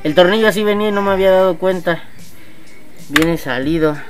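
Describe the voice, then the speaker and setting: A man's voice: high-pitched, brisk, music in the background. A man in his thirties with a Mexican accent, a motovlog host chatting casually and talking straight to his viewers in an outdoor workshop yard. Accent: Mexican Spanish. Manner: chatty, slangy, addresses viewers directly. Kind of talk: casual vlog chat.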